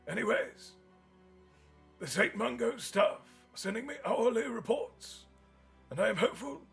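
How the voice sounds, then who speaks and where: A man voicing a wise, elderly male headmaster who speaks in a measured, courteous way, high above his own voice, with soft music behind. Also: gravelly, exaggerated voice. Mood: reassuring.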